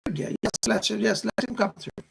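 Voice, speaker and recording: A man voicing a gentle, motherly female spirit guide in a trance séance, effusive and soothing, with genteel endearments and a husky, creaky voice. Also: high voice, a little fast, exaggerated voice. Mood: excited, welcoming.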